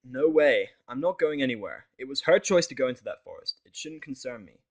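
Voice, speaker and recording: A man's voice: mid-range voice, lively intonation, fairly quick, voice pushed up, low-quality recording. A man voicing a moody, stubborn, sarcastic human man in a fan fiction audio story, with curt delivery. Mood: defensive. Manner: curt, sardonic, swearing.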